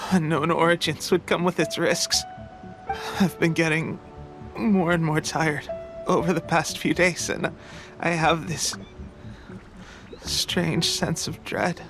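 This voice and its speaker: Young soldier, video game, slow, slightly high-pitched, ailing, faltering, brave good cheer, music underneath. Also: male voice, steady tone. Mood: weary, uneasy. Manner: halting, trailing off, bravely light-hearted. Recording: music behind the voice.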